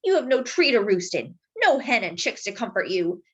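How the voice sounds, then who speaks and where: A woman's voice: mid-range voice, very animated, moderate pace, a bit bright, breathy edge. A woman voicing a bossy, grumpy male village mayor in a storybook, a blustering bully. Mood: exasperated pleading. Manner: snarling, thundering, pleading.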